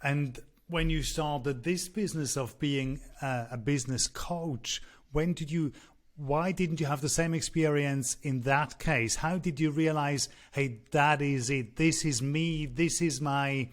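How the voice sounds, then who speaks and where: A man's voice: on the high side, measured pace. A male podcast host asking a guest questions hesitantly, rephrasing as he goes. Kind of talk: interview questions.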